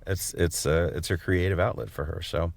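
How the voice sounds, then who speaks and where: A man's voice: sits low, steady tone, normal speed, creaky, no echo. A man co-hosting a podcast, interviewing casually in a rambling, jokey way with hesitant phrasing. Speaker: podcast co-host.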